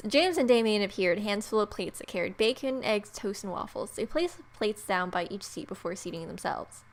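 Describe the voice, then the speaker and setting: A woman's voice: mid-range voice, lively intonation, a little fast. A woman hosting a let's play, reading game narration expressively and adding playful, wry casual asides. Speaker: gamer, video host.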